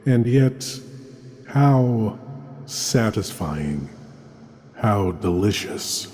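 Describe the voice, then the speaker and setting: A man giving a scripted dramatic horror introduction, deliberate and savoring, pausing between phrases. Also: fairly low pitch, mellow. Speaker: episode introducer. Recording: long cavernous reverb.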